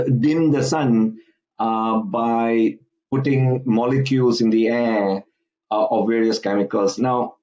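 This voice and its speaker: A man's voice: medium pitch, darkish tone, highs cut off. A man from Singapore talking as a podcast guest, hesitant and full of "uh"s and restarts. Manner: halting, many fillers and restarts. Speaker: guest commentator. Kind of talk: podcast interview.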